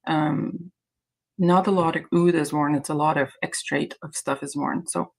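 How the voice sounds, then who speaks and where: A woman's voice: deep voice, steady tone, moderate pace, gravelly. A woman from Iran whose native language is Azeri, hosting a casual livestream chat in a hesitant, rambling way. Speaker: livestream host.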